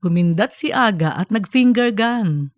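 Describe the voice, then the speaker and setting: A woman's voice: medium pitch, animated, measured pace, no echo, studio quality. A woman reading scripted narration for a comedic fiction story. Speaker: audiobook narrator.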